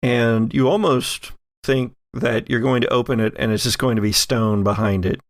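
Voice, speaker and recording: Brief: male game master for horror tabletop show, gravelly medium-pitched voice, very dry recording. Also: normal speed, no echo.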